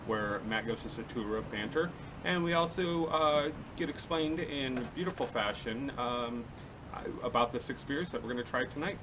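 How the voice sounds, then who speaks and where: A man's voice: medium pitch, normal speed. A man from bantering and joking in casual chat as a podcast co-host on a patio. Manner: joking, laid-back banter. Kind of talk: casual chat over beers. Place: outdoor patio. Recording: noisy outdoor recording.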